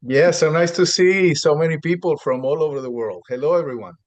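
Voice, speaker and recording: A man's voice: fairly high pitch, a little fast. A man greeting people and introducing himself in a friendly, plain way over a video call. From Venezuela. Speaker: trainer.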